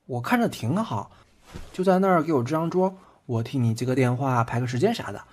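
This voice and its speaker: Man acting a husky, playful young boyfriend, lively pitch. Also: brisk, lightly acted. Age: young adult. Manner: teasing, colloquial. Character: playful, devoted twenty-year-old boyfriend, teasing and boyish. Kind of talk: audio drama acting, intimate banter. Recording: background music under the voice.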